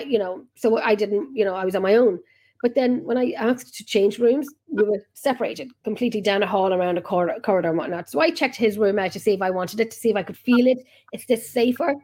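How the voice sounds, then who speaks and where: A woman's voice: fairly high pitch, mellow. A woman with an Irish accent hosting a casual chat over a video call, telling long rambling stories and interrupting herself often. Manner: chatty, rambling, self-interrupting storyteller. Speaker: podcast host and paranormal investigator.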